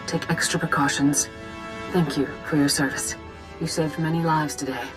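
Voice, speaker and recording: An actress playing an authoritative Jedi Master commander in a video game, calm, formal and measured. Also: female voice, low voice, over music, close to an everyday voice. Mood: calm, caring.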